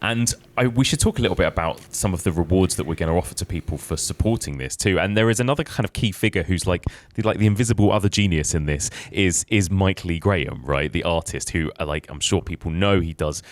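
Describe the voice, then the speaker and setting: A man with a low, deep voice hosts an informal podcast conversation. He talks quickly and enthusiastically, stressing his points and tagging them with little checks for agreement. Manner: enthusiastic, emphatic, seeking agreement. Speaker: podcast host. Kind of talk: casual enthusiastic podcast chat.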